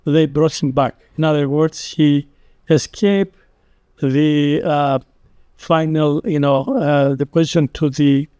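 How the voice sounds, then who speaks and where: An older man from Greece with a Greek accent, telling a history in a slow, halting way full of pauses and fillers. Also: on the high side, steady tone, dark tone, smooth, no echo. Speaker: publisher recounting company history. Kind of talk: unscripted talk.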